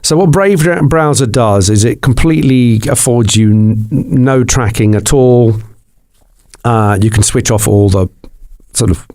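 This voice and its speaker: A man's voice: medium pitch, a little slow, a little mellow. A man who is a guest on a podcast and works as a TV presenter and journalist, talking in a rambling way with many restarts and fillers. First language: Greek. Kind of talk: casual interview chat.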